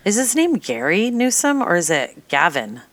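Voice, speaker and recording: A woman's voice: on the low side, very animated, moderate pace, fairly bright tone, dry recording. A woman co-hosting a casual podcast, with a nasal voice and a giggly, playful way of talking. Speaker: podcast co-host.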